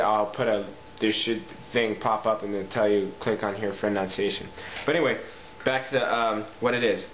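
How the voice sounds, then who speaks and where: A man talks through an informal tutorial in a roomy, echoing space. His audio is slightly dull and lo-fi with faint noise underneath. He explains things step by step in a halting, casual way at a medium pitch. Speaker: talk box tutorial presenter. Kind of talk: casual instructional video talk. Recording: echoey room, muffled lo-fi audio, faint noise.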